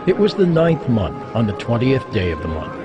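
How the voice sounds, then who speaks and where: A man's voice: mid-range voice, big pitch swings, normal speed. Male scripture narrator over music.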